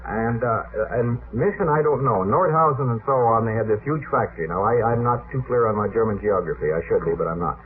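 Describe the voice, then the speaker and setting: A man's voice: on the low side, expressive, fairly quick, few pauses. A man explaining technical matters off the cuff as a guest on a radio panel, in a hesitant way. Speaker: guest expert on rockets. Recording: old radio recording, narrow phone-like sound, noisy.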